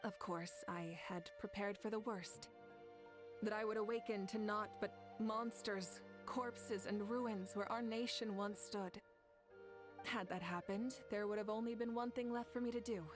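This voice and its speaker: An actress playing a proud, fiery woman who leads her nation, speaking as earnest game cutscene dialogue with soft music behind. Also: medium pitch, steady tone, a little slow, lightly acted. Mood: solemn. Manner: solemn, earnest storytelling.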